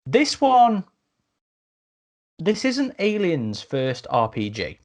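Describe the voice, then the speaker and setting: A man in a casual podcast discussion as a host, speaking a little haltingly and repeating words as he goes. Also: high-pitched, huge pitch swings.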